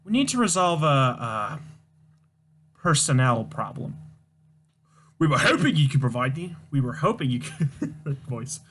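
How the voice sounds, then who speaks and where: Man doing a prim young woman assistant, polite and clipped, bright voice. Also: on the high side, very animated, moderate pace, pauses often, a little hiss or hum. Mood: businesslike. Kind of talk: reading a comic aloud, all voices.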